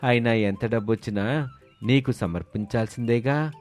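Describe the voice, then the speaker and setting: A man playing a sly, smooth-talking village drinker in an animated moral story. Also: medium pitch, normal speed, faint music behind, natural voice. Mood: wheedling. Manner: wheedling, scheming, reassuring.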